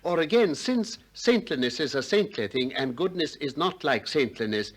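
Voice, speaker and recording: An actor playing a shrewd, probing Athenian philosopher in a 1960s radio drama, deliberate and courteous with polite irony. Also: male voice, fairly high pitch, lively intonation, slightly rough. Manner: deliberate, politely ironic, questioning.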